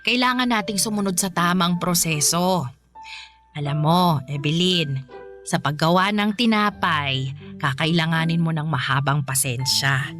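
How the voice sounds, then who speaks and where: An actress playing a patient, motherly older woman who gently teaches baking, in a radio drama with soft music behind. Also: low voice. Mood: calm advising. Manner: gentle, advising, unhurried.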